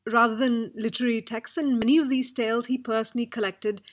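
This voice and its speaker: Brief: woman, slightly high voice, clear and informative. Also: fast. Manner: informative, steady. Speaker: podcast producer. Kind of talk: recommending books on a podcast.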